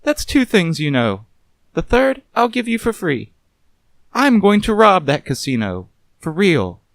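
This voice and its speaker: Man voicing coolly condescending noir femme fatale, pitched up. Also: huge pitch swings, a little slow, no room sound, heavily acted. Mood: coolly scheming. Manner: condescending, teasing, arch. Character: glamorous, sardonic young movie-star woman, coolly scheming.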